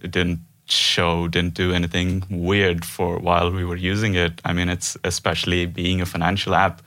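A man's voice: deep voice, monotone, normal speed, a bit bright. A man in his thirties from India, a developer guesting on a company podcast, speaking casually with many fillers and restarts. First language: not Norwegian; Indian language. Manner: hesitant, many fillers and restarts, laughs often. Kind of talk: casual interview chat.

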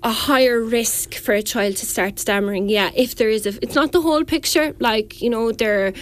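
A woman's voice: fairly high pitch, moderate pace. A woman speech therapist explaining as a radio guest, earnest and full of restarts and fillers.

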